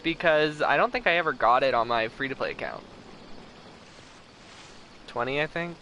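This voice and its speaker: A man's voice: fairly high pitch, normal speed, bright, forceful tone, a little hiss or hum, poor audio. A man giving casual livestream commentary as he plays a game, chatting in short asides. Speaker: game streamer.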